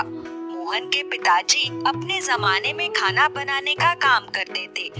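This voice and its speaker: A woman narrating a scripted children's story in an expressive storytelling manner. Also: high-pitched.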